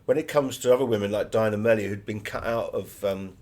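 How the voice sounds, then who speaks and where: A man's voice: on the low side, fairly quick, darkish tone. A man giving conversational interview answers as an author, full of anecdotes.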